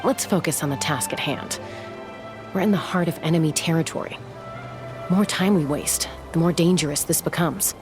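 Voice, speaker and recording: Actress as a dutiful young game heroine, low female voice, no-nonsense, faint music under it. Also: moderate pace, natural voice. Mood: focused. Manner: level-headed, no-nonsense. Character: serious, dutiful, protective young woman warrior. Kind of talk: video game voice acting.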